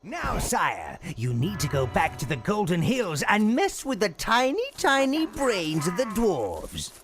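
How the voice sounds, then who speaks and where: Sly old villainous minion, wildly swooping. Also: male voice, high-pitched, wildly swooping pitch, measured pace, over music, heavily acted. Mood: sly scheming. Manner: theatrical, scheming, mock-deferential. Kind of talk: video game narration and instructions.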